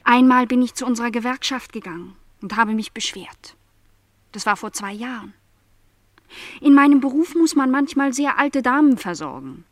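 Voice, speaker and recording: An actress playing a young housemaid in a radio play, lonely, stubbornly resigned and quietly bitter, speaking in measured, formal sentences. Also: female voice, fairly high pitch, expressive, dry recording, natural voice. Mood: bitter, insistent. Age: young adult.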